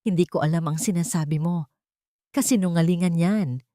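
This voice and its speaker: A woman's voice: on the low side, normal speed, mellow, no room sound, clean studio sound, close to an everyday voice. A woman voicing a frightened, guilt-ridden socialite in an audio drama, with a trembling, pleading delivery. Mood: nervous.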